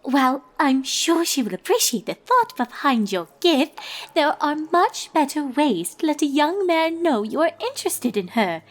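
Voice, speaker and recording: A prim and theatrical young lady unicorn from a cartoon story, acted by a woman reader. The high voice swings widely in pitch as it gossips in wordy, indignant flourishes. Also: big pitch swings, unhurried. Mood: scandalized, gossipy. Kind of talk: cartoon fan-fiction character reading.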